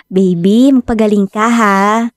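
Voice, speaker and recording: A woman's voice: fairly high pitch, no echo, exaggerated voice. An actress with a Filipino accent playing a devoted, anxious young woman who dotes on her aging dog in an emotive radio drama. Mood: tender. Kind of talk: Tagalog radio drama acting. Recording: music bed behind dry studio voice.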